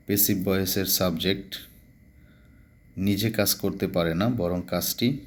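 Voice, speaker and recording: A man, a Bengali speaker, giving a grammar lesson as a teacher, explaining step by step with repetition. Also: deep voice. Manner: didactic, repeats explanations step by step.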